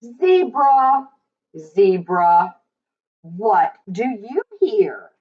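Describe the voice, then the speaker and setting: A woman reading a picture book aloud to young children, in a sing-song, drawn-out way with questions to her listeners. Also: mid-range voice, big pitch swings, muffled recording.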